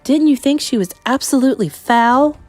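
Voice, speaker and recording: A woman voicing a young boy who has been turned into a mouse, talking excitedly and loudly. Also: sits high, normal speed, no room sound, faint music behind, pitched above the performer's own voice. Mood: eager.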